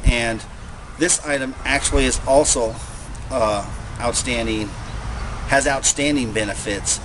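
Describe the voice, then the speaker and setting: A man with a middle-pitched voice explains survival gear in a plain, matter-of-fact way, sometimes restarting a thought. He is recorded outdoors, with steady traffic and road noise clearly heard behind him. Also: a little slow. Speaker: host showing survival gear. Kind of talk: unscripted how-to talk to camera.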